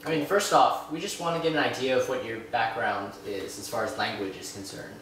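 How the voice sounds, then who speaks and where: A young man co-hosting a vlog in a relaxed way, using fillers like "you know.". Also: mid-range voice, fairly quick. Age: young adult. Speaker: vlog host. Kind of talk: casual hosting. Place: echoey living room indoors. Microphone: far camera mic. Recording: roomy echo, distant mic, faint hiss.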